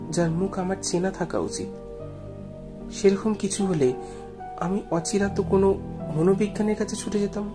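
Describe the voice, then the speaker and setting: Brooding male storyteller over music. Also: high-pitched. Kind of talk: scripted first-person story narration.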